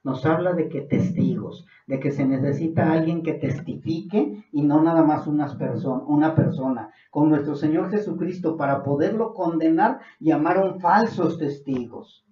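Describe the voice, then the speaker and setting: A man's voice: on the high side, normal speed, hardly any pauses. A man with a Mexican accent preaching a Bible study sermon, earnest and building to fervent emphasis. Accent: Mexican Spanish. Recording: distant, dull, echoey room.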